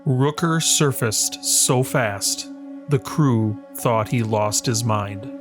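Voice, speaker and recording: A man with a medium-pitched voice narrates a scripted suspense tale over prominent, loud music. He speaks very slowly and deliberately, breaking phrases with dramatic pauses.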